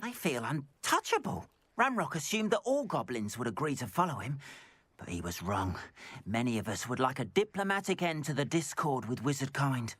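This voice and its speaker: A man voicing a gentle, wronged goblin painter in a video game, speaking in an earnest, measured and formal way. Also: medium pitch, breathy edge, dry recording. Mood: earnest, troubled. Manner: measured, expressive, formal old-fashioned phrasing. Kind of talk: video game character acting.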